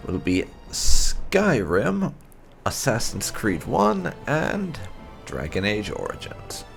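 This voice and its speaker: Male gaming host, gravelly and unhurried, casual intro commentary with faint music behind. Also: fairly low pitch, a bit bright.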